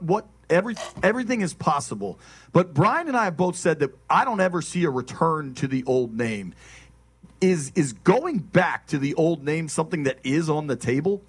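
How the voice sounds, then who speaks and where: A man's voice: a little high, breathy edge. A man working as a sports radio interviewer, putting questions in a conversational way.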